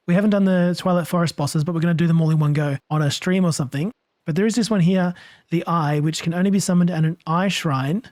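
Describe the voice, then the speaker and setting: Hoarse male let's-play host, slightly raised pitch, easygoing musing delivery with frequent chuckles, dry close sound. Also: no echo. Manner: musing aloud, relaxed, chuckles often. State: hoarse, under the weather. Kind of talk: gameplay commentary.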